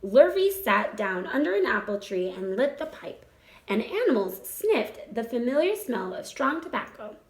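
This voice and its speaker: A woman reading a children's story aloud as narrator, in an expressive storytelling style. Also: a little high, moderate pace, roomy sound. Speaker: storybook reader.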